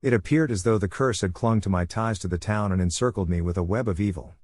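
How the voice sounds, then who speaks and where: A man reading scary first-person stories as scripted narration, in a steady, measured way. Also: fairly low pitch, no room sound, clean studio sound. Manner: steady, measured storytelling delivery. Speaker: voice actor narrating horror stories.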